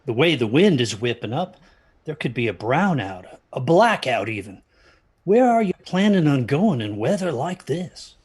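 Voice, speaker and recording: A man playing an uneasy parent in an audio drama, speaking in a halting, ominous, husky way that drops toward whispers. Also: mid-range voice, big pitch swings, darkish tone. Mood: uneasy small talk. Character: uneasy parent warning children about haunted theaters.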